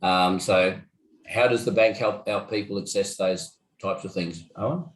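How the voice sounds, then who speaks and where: A man's voice: low-pitched, fairly even intonation, unhurried. A man hosting a webinar Q&A, talking in a friendly, slightly rambling way. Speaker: webinar host. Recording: roomy echoey webinar audio.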